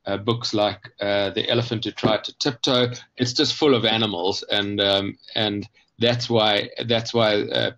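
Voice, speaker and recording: A man explaining his ideas conversationally as an interview guest, thoughtful and halting, often pausing mid-phrase to rephrase. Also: on the low side, fairly even intonation, fairly bright tone, highs cut off. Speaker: guest, children's book author.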